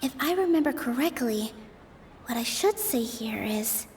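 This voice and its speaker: Brief: woman player reading on-screen text, high voice, noisy reverberant room. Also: expressive, measured pace. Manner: repetitive, reading aloud. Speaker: gameplay streamer. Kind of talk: reading game dialogue aloud while playing.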